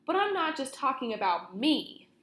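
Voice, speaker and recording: A woman's voice: high voice, big pitch swings, normal speed, a bit bright. A woman voicing a cocky, indignant male wolf from a storybook, chummy and pushy, talking theatrically straight to the listener. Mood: chummy, persuasive. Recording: roomy, echoing room sound.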